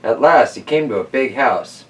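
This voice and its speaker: Animated man hosting children's storytime. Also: medium pitch, moderate pace, far from the mic, low-quality recording. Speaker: host of a children's story-reading show. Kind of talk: casual chat and animated storybook reading.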